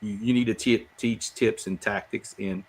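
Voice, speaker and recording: Low-voiced man in casual podcast chat. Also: fairly even intonation, normal speed.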